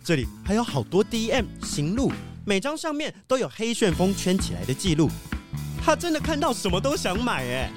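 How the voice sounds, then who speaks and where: Man to play wolf police sheriff, children's audio drama. Eager, high-pitched, very animated, polite, Taiwanese Mandarin accent. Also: moderate pace, music in the background. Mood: explaining. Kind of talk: children's detective radio play acting.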